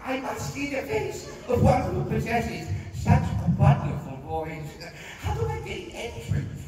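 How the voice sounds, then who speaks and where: A woman's voice: fairly high pitch, huge pitch swings, unhurried. A woman voicing an earnest, lovestruck young prince in a theatrical comedy table read. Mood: enchanted. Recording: very noisy echoey hall, far off.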